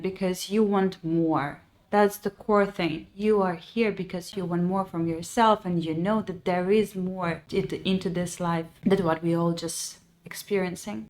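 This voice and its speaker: A woman's voice: medium pitch, darkish tone. A woman from Ukraine in her thirties, a podcast host and life coach telling her own story in an earnest, reflective way, with phrasing. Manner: earnest and reflective, with frequent restarts and non-native phrasing. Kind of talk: personal storytelling monologue.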